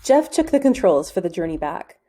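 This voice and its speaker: A woman reading a children's novel aloud as a storyteller, in measured, clearly phrased narration. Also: lowish voice, sing-song, dark tone.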